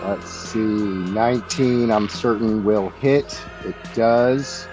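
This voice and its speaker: Slow, halting man running a tabletop game. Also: lowish voice, music in the background. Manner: halting, pauses between phrases. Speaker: game master. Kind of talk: improvised tabletop game narration.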